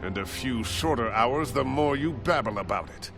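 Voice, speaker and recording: A man's voice: medium pitch, animated, normal speed, gravelly, music in the background. An actor playing an arrogant, wealthy, short-tempered man in a video game, pompous and scornful. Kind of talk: dramatic video game acting.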